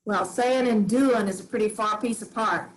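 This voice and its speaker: A woman's voice: medium pitch, normal speed, close to an everyday voice, low-quality recording. A woman reading a wry old farm widow. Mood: dry. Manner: dry, wry, plainspoken rural talk. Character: Grams, weary old farm widow, dry and stubborn. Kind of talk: staged play reading.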